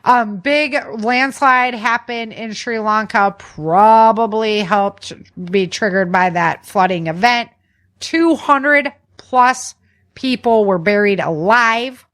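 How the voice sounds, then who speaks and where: A woman's voice: fairly high pitch, slow pace, a bit bright. An American woman hosting a podcast, running through news in a chatty, informal way with slangy casual commentary. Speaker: podcast host.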